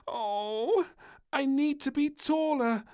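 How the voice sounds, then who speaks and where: A man's voice: extremely high pitch, slow pace, darkish tone, pitched above the performer's own voice. A man voicing a bossy, whiny little princess girl in a storybook, with piping, squealing delivery.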